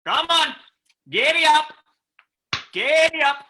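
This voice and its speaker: An actor playing a menacing, smug saloon keeper in an old-time radio drama, with a folksy, mock-friendly taunting manner. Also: male voice, very high-pitched, expressive, slow, sharp tone, slight background noise, heavily acted. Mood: shouting to horse.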